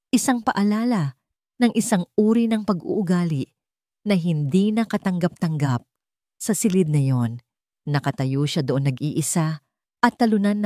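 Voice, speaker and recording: Lower-pitched woman narrating dramatic fiction. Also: dark tone, no room sound, clean studio sound. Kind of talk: scripted dramatic story narration.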